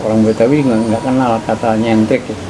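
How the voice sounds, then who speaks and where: A man in his seventies with a Jakarta accent, recounting his memories slowly and deliberately in an interview at home. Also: lowish voice, level tone. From Indonesia. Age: 70s. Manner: measured, deliberate storytelling. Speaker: interviewee, linguist. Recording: loud background noise.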